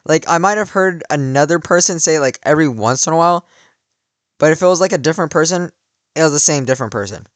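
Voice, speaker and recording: A man's voice: on the high side, animated, quick pace, no room sound. Rambling young guy, slightly worn voice. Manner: rambling, many "like" fillers and restarts. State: voice worn from cheering. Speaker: vlogger. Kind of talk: casual storytelling.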